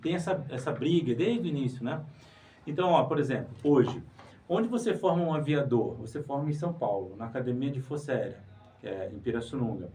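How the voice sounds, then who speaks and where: A man's voice: mid-range voice, animated, a little fast, dark tone. Man explaining in a didactic manner. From Pará, Brazil. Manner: didactic, rhetorical questions, emphatic. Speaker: guest, writer and museologist. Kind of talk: explanatory interview talk. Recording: distant mic with faint noise.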